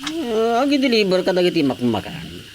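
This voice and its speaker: A man's voice: high voice, huge pitch swings, moderate pace, a little hiss or hum. A man playing a jovial, boastful village husband in a radio comedy drama. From Philippines.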